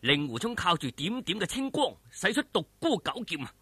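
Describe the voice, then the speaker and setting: Male storyteller, Hong Kong Cantonese, highish and lively, emphatic theatrical delivery of martial arts tales. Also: normal speed, slightly rough, no room sound. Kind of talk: dramatic wuxia audiobook narration.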